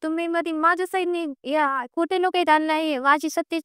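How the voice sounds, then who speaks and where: A woman's voice: extremely high pitch, fairly even intonation, brisk, fairly bright tone, no echo, studio quality. A young woman, speaking insistently and pleadingly as she airs a grievance on a recorded phone call. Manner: insistent, aggrieved, pleading, repeats herself. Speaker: caller with a personal grievance. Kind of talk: emotional private phone conversation.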